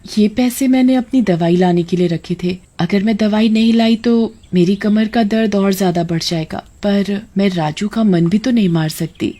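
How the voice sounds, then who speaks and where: A woman voicing a poor, selfless young elder sister in a cartoon story, gentle and hesitant. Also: mid-range voice, normal speed, few pauses, natural voice. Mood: caring, resigned.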